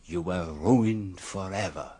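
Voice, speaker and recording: A courteous, shrewd older male detective in a radio drama. His voice sits fairly low and his words are deliberate and exact, with a formal politeness even as he calmly accuses. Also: slightly rough, full character voice. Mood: accusing, firm.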